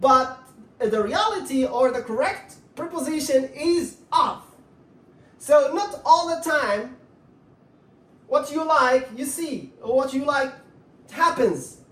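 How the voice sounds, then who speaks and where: A woman's voice: sits high, lively intonation, slow, long pauses. A woman teaching an English grammar lesson, repeating herself and putting questions to viewers, saying words one at a time. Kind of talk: grammar lesson to viewers, writing as she explains. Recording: echoey room, distant mic.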